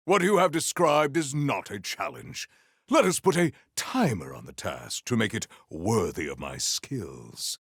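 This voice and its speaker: Video game warrior, solemn and grandiose, stiffly formal diction, rough creaky voice, very dry close studio sound. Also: male voice, lowish voice, a bit bright, dry recording, full character voice. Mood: bold, grandiose. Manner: formal, stilted, declamatory speech without contractions. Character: solemn, proud warrior hunter with stately grandiose temper. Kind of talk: video game character acting.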